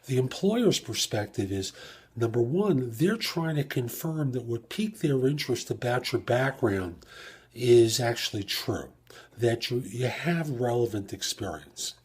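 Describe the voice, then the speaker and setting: A man's voice: medium pitch, husky. A man hosting an advice podcast solo, explaining things step by step and posing rhetorical questions. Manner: explanatory, asks rhetorical questions and answers them. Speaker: podcast host and job search coach. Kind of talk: career advice podcast monologue.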